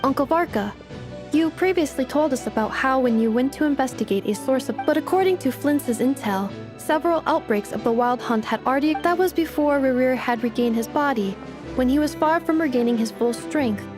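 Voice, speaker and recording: Woman as a high-voiced, precise robot girl. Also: music in the background, lightly acted. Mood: analytical. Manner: measured, formal, analytical. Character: polite, precise female robot assistant, calm and analytical. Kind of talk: game dialogue acting.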